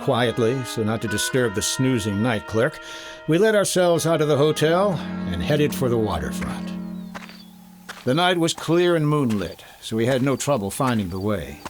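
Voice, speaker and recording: A man's voice: mid-range voice, very animated, moderate pace, over music, natural voice. A man acting a shrewd, wry insurance investigator in a 1950s-style detective radio drama, speaking and narrating with dry confidence.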